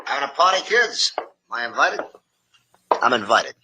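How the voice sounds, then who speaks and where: An actor in an old-time radio crime drama playing a cocky, sneering gangster killer, taunting and wisecracking in a raspy voice. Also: male voice, medium pitch, sing-song, normal speed, noisy background, natural voice. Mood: taunting, menacing.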